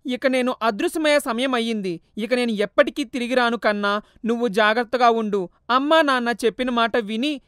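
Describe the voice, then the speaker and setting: A gentle, sorrowful mother's ghost speaks softly to her son, as a woman puts her on. Her voice is high and tender, recorded dry and close, as in a storybook cartoon. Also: normal speed, clean tone, no room sound, close to an everyday voice. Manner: soft, tender, tearful. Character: poor loving mother, gentle sad ghost.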